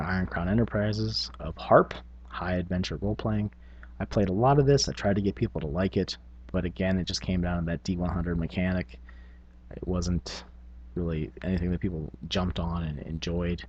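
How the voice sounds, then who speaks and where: A man's voice: sits low, fairly quick, darkish tone, highs cut off. A middle-aged man talking informally and reflectively as a channel host, hesitating and thinking aloud as he goes. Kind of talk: casual reflective vlog on tabletop gaming.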